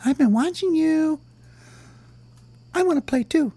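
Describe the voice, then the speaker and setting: A little storybook worm chatters in a very high, squeaky falsetto. He is eager and cheeky, coaxing and pleading with wildly swooping pitch, close and dry. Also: unhurried, gravelly, no echo, full character voice. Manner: coaxing, excitable, stretched-out calls.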